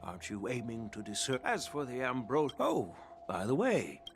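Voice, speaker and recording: A man's voice: mid-range voice, huge pitch swings, normal speed, a little hiss or hum. A man voicing a genial, frank old general, a wise elder in a video game, with music behind him.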